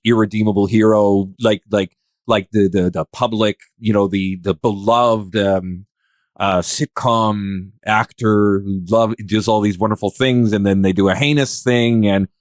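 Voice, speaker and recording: A man speaking in a casual, conversational podcast discussion, with a halting, rambling way of working through his thoughts. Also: on the low side, steady tone, no echo, studio quality. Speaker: podcast participant.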